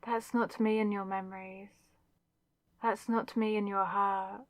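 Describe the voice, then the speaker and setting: Slow, possessive demon villainess, acted by a woman. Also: medium pitch, level tone, dry recording, lightly acted. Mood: conflicted. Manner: slow, deliberate, intense, with dramatic pauses. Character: possessive demonic spirit villainess, wounded and lovesick. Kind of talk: dramatic ASMR audio roleplay.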